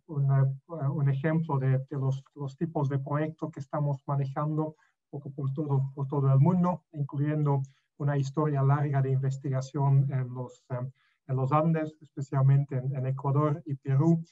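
A middle-aged man from Belgium, not a native speaker, lecturing as a professor on a video call, halting with many fillers and restarts. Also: a little high, level tone. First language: not Spanish. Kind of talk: online lecture. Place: room at home. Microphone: webcam on a video call. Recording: dull lo-fi video call audio.

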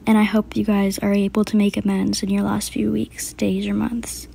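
A woman playing a gentle, solemn hospital doctor who speaks softly and haltingly in a homemade skit. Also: medium pitch, monotone, gravelly, husky, pitched below the performer's own voice. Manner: soft-spoken, halting.

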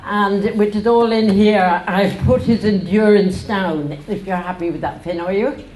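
A woman's voice: medium pitch, a little slow, a little mellow, a little hiss or hum. An older woman speaking at her book launch, chatty and wry, laughing between passages she reads aloud to a crowd in a bookshop. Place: indoor bookshop with a standing crowd. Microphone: stand mic at a lectern.

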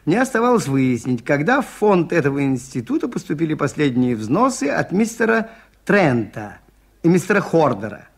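An actor in a 1980s Soviet radio drama playing a shrewd, mild priest detective who explains things in a measured, polite way. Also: male voice, on the high side, very animated, gravelly, exaggerated voice. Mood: calm, firm.

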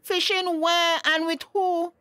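High-voiced, stern Tobagonian village mother. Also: little pitch movement, slow pace, pushed and bright, dry recording, full character voice. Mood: scolding. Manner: scolding, indignant, emphatic. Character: stern, suspicious village mother of a grown daughter. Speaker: actor in radio drama. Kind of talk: radio drama acting.

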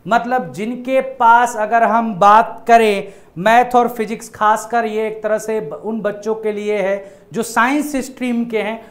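A man in his thirties, an exam coaching teacher, explaining a job notice to students in a casual way, often repeating key points. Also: very high voice. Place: indoor studio in front of a projected screen.

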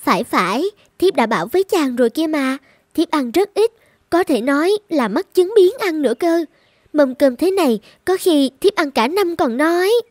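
High-pitched young demon wife. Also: female voice, lively intonation, normal speed, a little mellow, no room sound, studio quality. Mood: demure, coaxing. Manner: demure, coaxing, theatrical. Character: sweet young wife, secretly a gluttonous two-mouthed female demon. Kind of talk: animated folktale acting.

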